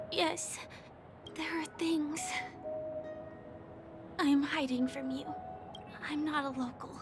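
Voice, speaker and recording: An actress playing a gentle, earnest young woman in a video game, soft-spoken, hesitant and sincere. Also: high voice, steady tone, drawn-out words, long pauses, heavily acted. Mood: apologetic, confessing. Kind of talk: video game character dialogue. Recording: background music under the voice.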